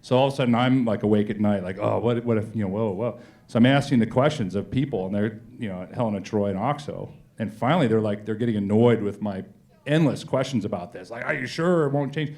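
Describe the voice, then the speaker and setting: A man being interviewed as a company executive, telling stories conversationally and acting out exchanges in informal, animated speech. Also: lowish voice, fast. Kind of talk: conversational on-stage storytelling.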